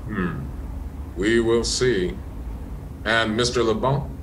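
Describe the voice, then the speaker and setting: A man playing a measured, skeptical American police inspector in a comic radio mystery. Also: lowish voice, slight background noise. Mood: probing, composed.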